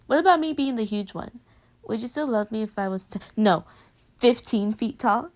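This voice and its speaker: A woman's voice: fairly high pitch, lively intonation, moderate pace, darkish tone, close to an everyday voice. A woman playing a playful, clingy young girlfriend in an intimate pillow-talk roleplay audio, teasing and affectionate as she rambles between murmurs and exclamations. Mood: playful, curious.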